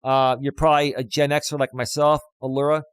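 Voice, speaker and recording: A man of fifty, a life coach, ranting loudly and emphatically on a live stream while reading out chat, close on a studio dynamic mic. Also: fairly high pitch, little pitch movement, a little fast, smooth, no echo. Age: 50s.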